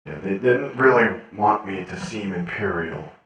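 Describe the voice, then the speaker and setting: A man's voice: low-pitched, expressive, measured pace, a bit bright, dull audio. A man playing a captured hired killer in a sci-fi game role-play, laconic and casual, with hesitant answers and a reverberant voice. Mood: flat, evasive. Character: captured male hired killer, laconic, menacing attack dog. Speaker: role-player in an online game. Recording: heavily echoing, distant-sounding voice.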